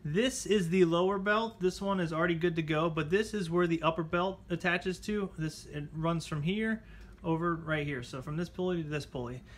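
A man's voice: a little high, normal speed. A man hosting a casual repair tutorial, chatting informally as he talks viewers through the steps. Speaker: how-to channel host. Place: indoors at a table at home.

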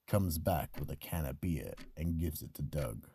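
A man hosting and narrating a radio mystery with solemn, ominous, husky storytelling. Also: very deep voice, expressive, moderate pace, dark tone, slight background noise. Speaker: narrator and host.

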